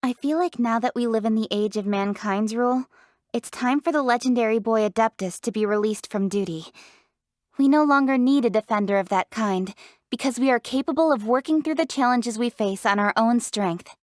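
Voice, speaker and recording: Woman acting as young female game official, higher-pitched, assertive, crisp wording, businesslike, proud, dry studio sound. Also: normal speed, dry recording, natural voice. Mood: reflective, candid. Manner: articulate, assertive, matter-of-fact. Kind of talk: scripted video game character voice lines.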